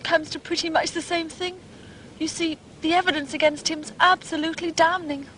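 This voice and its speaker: High, bright, posh teenage girl. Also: steady tone, normal speed, slight background noise, low-quality recording. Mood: blasé. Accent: southern English, posh. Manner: blasé, gushing slang, worldly airs. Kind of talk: period detective drama dialogue.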